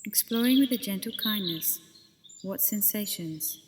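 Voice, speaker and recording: A woman leading a guided body scan meditation as a teacher, speaking gently and unhurriedly with pauses between phrases. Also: medium pitch, expressive, long reverb tail, background noise.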